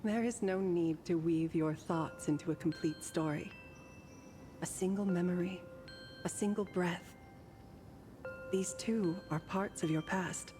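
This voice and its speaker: A woman as a slow-spoken, serene mystic painter. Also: fairly low pitch, mellow, natural voice. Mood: serene, guiding. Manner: formal, poetic, composed, measured. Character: serene, enigmatic female painter guiding travelers on a ferry. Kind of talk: dramatic video game voice acting. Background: music.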